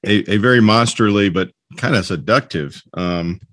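A man interviewing casually as a podcast host, speaking haltingly as he searches for his words. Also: lowish voice, a bit bright, no echo, studio quality.

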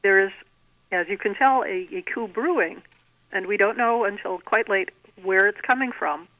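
A woman's voice: medium pitch, moderate pace, no room sound. Woman guest on a thin phone line. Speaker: guest author. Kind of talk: conversational interview about own writing. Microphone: telephone. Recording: thin, band-limited telephone line.

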